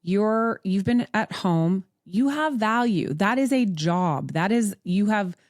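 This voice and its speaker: A woman's voice: medium pitch, expressive, slow pace, dry recording, clean studio sound. A woman from Montreal with a Canadian accent, hosting a casual advice talk in a blunt, sweary, emphatic manner. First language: English. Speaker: podcast host.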